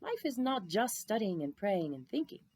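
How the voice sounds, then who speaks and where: A woman voicing a wise, calm old man, a mysterious traveler in a storybook. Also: normal speed, slightly rough. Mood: gently admonishing. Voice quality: deep, strong voice as narrated.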